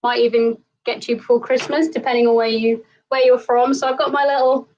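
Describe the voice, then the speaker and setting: Woman, host, cheerful, laughs often, somewhat high pitch. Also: fairly even intonation, a little fast, recorded from a distance, dull audio, slight background noise. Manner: bright, giggly, upbeat. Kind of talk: live stream hosting. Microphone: video call.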